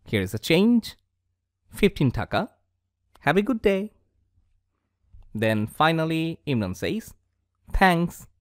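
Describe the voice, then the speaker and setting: A man teaching a school lesson in a voice-over, reading a dialogue aloud in a slow, deliberate way. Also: a little high, big pitch swings. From Bangladesh. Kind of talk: scripted English lesson for schoolchildren. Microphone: voice-over over slides.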